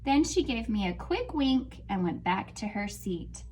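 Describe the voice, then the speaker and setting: Woman teacher, read-aloud storytime, slow and animated delivery. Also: mid-range voice, a little hiss or hum. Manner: slow, expressive storybook reading to children.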